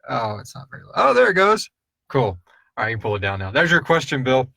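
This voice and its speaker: A man with a mid-pitched voice hosts a live question-and-answer stream. He talks informally and rambles a little, addressing viewers like friends. The recording is dry, with very little room sound. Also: very animated, fast, gravelly, sharp tone, no room sound. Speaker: podcast host answering listener questions. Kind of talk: casual live-stream chat.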